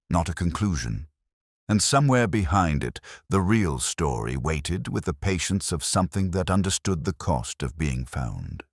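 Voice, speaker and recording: A man playing a melancholy, reflective police inspector, recounting a case in measured, literary first-person narration. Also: extremely low pitch, no echo, studio quality, heavily acted. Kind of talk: scripted first-person detective story narration.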